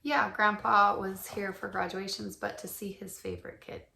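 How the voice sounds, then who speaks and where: A middle-aged woman, a little hoarse from shouting, co-hosting a casual podcast and gently asking questions. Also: medium pitch, little pitch movement, normal speed, reverberant. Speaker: podcast co-host. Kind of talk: casual chat.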